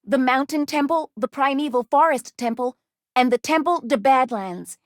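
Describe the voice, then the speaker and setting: A woman's voice: high voice, normal speed, no room sound, studio quality, natural voice. A woman voicing an earnest, anxious, polite young female robot companion in a video game, speaking precisely and formally without contractions. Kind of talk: video game character voice lines.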